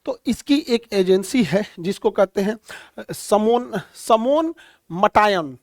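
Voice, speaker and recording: High-voiced folksy male teacher. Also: slow pace, no echo, clean studio sound. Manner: animated, repetitive, blunt and folksy with homely comparisons. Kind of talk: explanatory lecture on current affairs.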